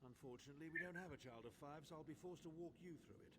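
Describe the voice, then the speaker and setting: A man's voice: a little high, racing, a bit bright, slightly rough. A man playing a sardonic, condescending older mage in a video game, articulate and dry in delivery. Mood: dry, instructive. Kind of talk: video game character acting.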